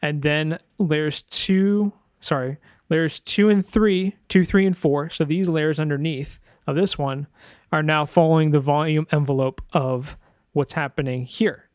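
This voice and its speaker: A man's voice: on the high side, fairly even intonation, measured pace, a little mellow, smooth, dry recording. A man giving an informal sound design tutorial, thinking aloud in a hesitant way as he demonstrates. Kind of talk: informal screen-share tutorial narration.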